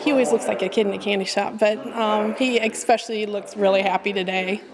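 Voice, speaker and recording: A woman's voice: mid-range voice, fairly even intonation, fairly quick, heavy background noise. A woman working at a care home, talking casually in a friendly, encouraging way. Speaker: nursing home activities worker. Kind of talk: casual talk with residents and to an interviewer.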